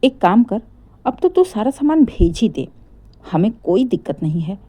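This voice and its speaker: Woman as a caring middle-aged mother, mellow tone. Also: mid-range voice, very animated, moderate pace. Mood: supportive.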